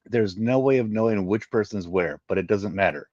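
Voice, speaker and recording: A man's voice: lowish voice, normal speed, a little mellow, lightly acted. A man voicing a helpful, earnest male alien facility worker who explains things in a sci-fi story. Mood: earnest, informative. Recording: muffled, roomy home recording.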